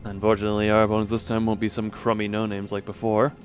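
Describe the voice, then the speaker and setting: A man's voice: lowish voice, completely flat delivery, quick pace, soft background music. A man hosting a game livestream, chatting casually, reading dialogue aloud and often breaking off to muse. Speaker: livestream host playing a game.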